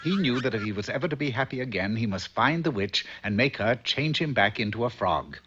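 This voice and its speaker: A man's voice: mid-range voice, very animated, brisk, sharp tone, slightly rough. A man narrating a comic fairy tale for a cartoon in a storybook style. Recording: dull old cartoon soundtrack, faint noise.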